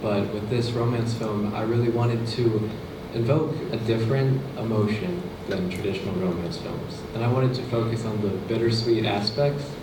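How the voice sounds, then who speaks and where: A young man in his late teens introduces his student project in a measured, earnest way. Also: fairly low pitch, steady tone. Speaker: student presenter. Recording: echoey hall, distant mic, noise.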